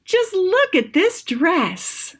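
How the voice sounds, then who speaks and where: A woman's voice: very high voice, huge pitch swings, extremely slow, a bit bright, voice pushed up, exaggerated voice. A woman voicing a bubbly young bride-to-be with a gushing, exclamatory manner in a children's storybook.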